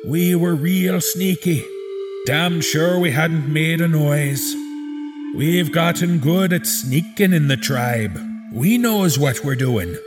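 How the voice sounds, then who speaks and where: A man voicing a crude, rough-spoken frontier man reading out his diary, in a coarse folksy drawl with swearing and slang, over eerie music. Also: fairly high pitch, slow pace, voice pushed up. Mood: agitated, boastful. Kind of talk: horror audio drama reading.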